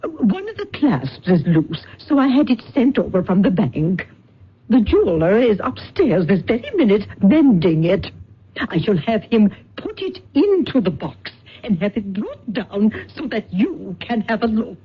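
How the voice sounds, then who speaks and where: An actress playing a gracious, excitable society lady with a breathy, husky voice in a radio drama. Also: mid-range voice, a little slow, slight background noise. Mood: thrilled, gracious. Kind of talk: old-time radio drama acting. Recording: dull old radio recording.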